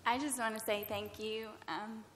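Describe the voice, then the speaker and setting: A woman's voice: fairly high pitch, steady tone, moderate pace. An American woman, close to tears, giving unscripted heartfelt thanks as a guest at a roundtable. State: emotional, near tears. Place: large event room with audience. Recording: echoey hall, noticeable background noise.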